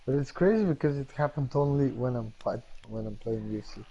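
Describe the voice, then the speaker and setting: A man's voice: mid-range voice, expressive, brisk, mellow, dull audio. A man chatting bluntly on a game stream as a co-player, with sudden surprised outbursts and swearing. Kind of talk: casual gaming chat.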